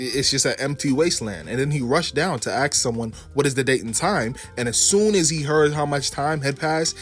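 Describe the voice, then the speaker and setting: A man with a mid-pitched voice narrates a game story recap. He talks casually in heavy slang, swears freely and gushes like a fan. Music plays behind him. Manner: slangy, profane, gushing fan talk.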